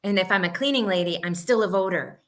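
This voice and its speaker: A woman in her sixties, a guest in a casual chat, telling stories in a lively way with acted-out quotes. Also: mid-range voice, quick pace, slight background noise. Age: 60s. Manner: lively, animated storytelling with put-on quotes.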